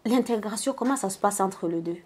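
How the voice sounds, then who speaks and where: A woman hosts a talk show interview, speaking slowly and with emphasis. She often pauses on filler sounds as she finds her words. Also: medium pitch, a little mellow, slightly rough. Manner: hesitant, many filler sounds, slow emphatic intro.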